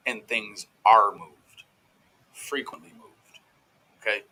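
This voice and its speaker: A man's voice: fairly low pitch, slow, bright, forceful tone, low-quality recording. A man giving casual, profane reaction commentary as host of a true crime show, in a brash, folksy, slangy way.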